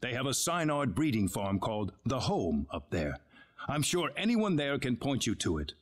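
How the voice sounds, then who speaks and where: An actor playing a grave, courteous leader of an advanced civilization in a video game, speaking in formal, measured exposition. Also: male voice, medium pitch, sing-song. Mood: measured, instructive. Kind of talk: dramatic video game dialogue.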